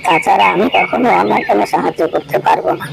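Casting: man for friendly moral deer, cartoon, creaky highish voice, noisy recording. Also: fast. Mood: friendly, principled. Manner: polite, moralizing. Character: friendly, principled deer who refuses to do wrong.

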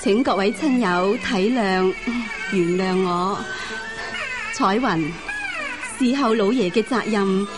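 A woman's voice: medium pitch, slow pace, close to an everyday voice. A woman in a radio drama playing a composed, dutiful, formal middle-aged wife. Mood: solemn, gracious. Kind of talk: Cantonese radio drama acting. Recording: radio broadcast with music underneath.

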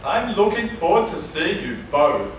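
A woman on stage playing an affectionate neurologist and old friend, with deliberate, projected delivery. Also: lowish voice, big pitch swings. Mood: fond. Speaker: stage actor. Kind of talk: live stage play dialogue. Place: theatre stage before a live audience. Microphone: far camera. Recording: very echoey noisy hall, far off.

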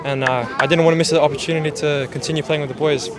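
A teenage rugby player answering outdoors into a handheld mic, composed and articulate. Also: male voice, on the high side, fairly even intonation, rapid-fire. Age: teens. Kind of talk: post-game interview. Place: outdoor sports field. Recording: crowd noise outdoors.

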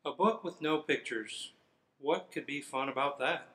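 A man's voice: fairly high pitch, measured pace, fairly bright tone. A middle-aged man reading a children's book aloud in a theatrical, playfully exaggerated manner. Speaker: firefighter. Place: indoors in front of a fire truck. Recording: roomy, echoing sound.

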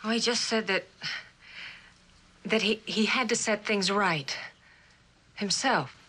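An actress playing a worried, devoted wife in a mid-century television drama, hesitant and earnest. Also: female voice, mid-range voice, slow, pushed and bright, slightly rough, exaggerated voice. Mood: anxious. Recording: faint noise, old television soundtrack.

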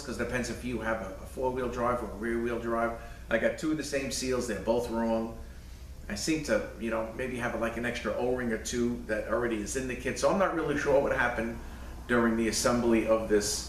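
Plainspoken mechanic in an echoey shop. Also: male voice, medium pitch, fairly bright tone. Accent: New York. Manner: plainspoken, rambling with fillers, hands busy working. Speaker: transmission mechanic. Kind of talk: casual shop tutorial. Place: cluttered indoor repair shop. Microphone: camera mic, a few feet away. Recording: echoey shop, distant mic, faint hum.

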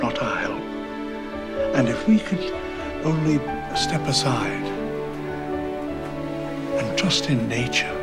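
An elderly park founder, earnest and visionary, who speaks in a slow, deliberate cadence while lush music plays loud beneath him. His pitch sits in an ordinary middle range, and each phrase is weighed with care. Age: older. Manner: slow, measured, solemn pleading. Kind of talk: game dialogue performance.

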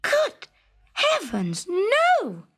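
A woman's voice: very high-pitched, wildly swooping pitch, drawn-out words, a bit bright, pitched above the performer's own voice, full character voice. A woman voicing a spoiled, squeamish young princess in a storybook reading, snappish and exclaiming, petulant and tearful. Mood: indignant refusal. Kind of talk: storybook character acting.

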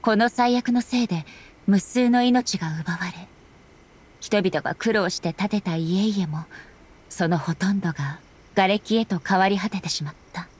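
A woman playing a solemn, dignified female ruler in an anime game, speaking formally and with measured politeness. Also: medium pitch, slightly rough, natural voice. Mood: grave, somber. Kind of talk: anime game dialogue acting.

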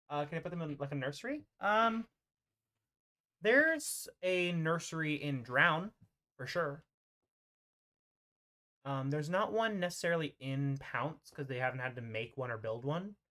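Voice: mid-range voice, lively intonation, takes it slowly, pauses often, pushed and bright. A game master casually narrating an online roleplay over a voice call, in an informal, hesitant way.

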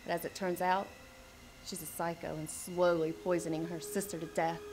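An actress playing a scheming mother calmly revealing her plan in a campy comedy. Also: lowish voice, moderate pace, echoey room, background noise, close to an everyday voice. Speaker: actor.

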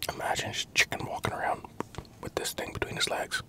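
A man in his thirties, an ASMR creator, whispering a loose, profane eating chat into a desk mic inside a parked pickup truck. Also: a little fast. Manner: whispering, loose and profane, chewing between remarks. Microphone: large desktop mic in the cab, GoPro camera.

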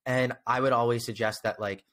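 A man in his twenties with a medium-pitched voice talks as a podcast host. He is conversational and earnest, with an encouraging way of putting things, speaking close to a desk mic in a room at home. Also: steady tone, a little fast, a bit bright. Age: 20s. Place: small room at home, indoors. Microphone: close desk microphone.

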